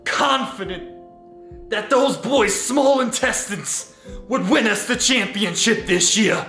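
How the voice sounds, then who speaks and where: A man's voice: sits high, sharp tone, soft background music, heavily acted. A man voicing a foul-mouthed, hotheaded male football coach with a Boston accent and a combative, trash-talking manner. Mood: gloomy. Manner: gravelly, slow, combative trash talk. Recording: echoey room.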